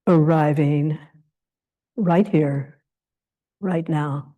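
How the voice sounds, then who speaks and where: A woman leading a guided meditation as a teacher, speaking in short, gentle phrases of invitation with long pauses between them. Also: deep voice, steady tone, phone-quality sound.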